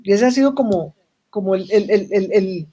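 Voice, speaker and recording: A woman's voice: medium pitch, moderate pace, dark tone, a little hiss or hum. A Colombian woman speaking as a webinar guest in a casual, reflective way, often restarting phrases and ending them with tag questions. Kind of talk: casual interview conversation.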